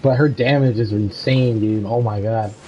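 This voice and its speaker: A young man casually commentating on a game he is playing, excited and slangy. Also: lowish voice, moderate pace, lo-fi sound, faint music behind. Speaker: streamer.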